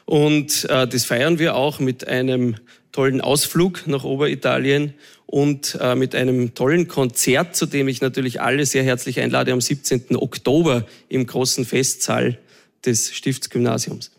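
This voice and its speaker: A man's voice: medium pitch, steady tone, normal speed, vocal fry. A man from Salzburg with an Austrian accent, a choir director answering interview questions hesitantly, with many fillers.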